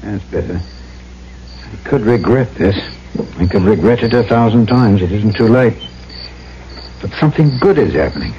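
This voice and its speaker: Vintage radio drama lead: conscience-stricken trader, low register, muffled broadcast sound. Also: male voice, expressive, a little slow, long pauses, warm tone, slight background noise. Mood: troubled, warming. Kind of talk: old-time radio drama, first-person narration and dialogue. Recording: muffled old radio broadcast, music behind.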